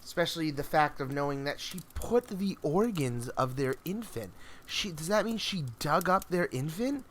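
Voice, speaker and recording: A man's voice: a little high, animated, moderate pace, fairly bright tone, slight background noise. A man hosting a storytelling show, talking in a casual, rambling and informal way. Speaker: podcast host and storyteller. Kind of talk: casual live stream chat between stories.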